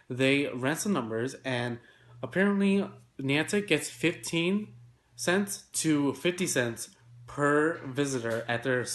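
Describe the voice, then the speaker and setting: A congested, stuffy-nosed man giving a remote technology news segment, rushing through it in a chatty way with many fillers. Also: mid-range voice, slight background noise. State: congested, nasal.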